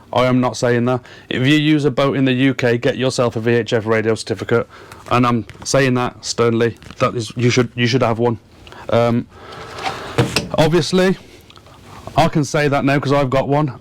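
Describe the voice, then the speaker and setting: A man's voice: mid-range voice, fairly even intonation, normal speed, a little hiss or hum. A man talking casually to viewers as a boating vlogger, earnest and emphatic, often repeating words to stress a point.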